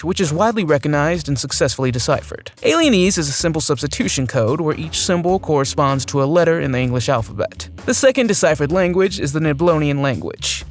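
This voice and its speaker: A man reading scripted narration for a list video, presenting facts and putting questions to the viewer. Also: on the high side. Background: music.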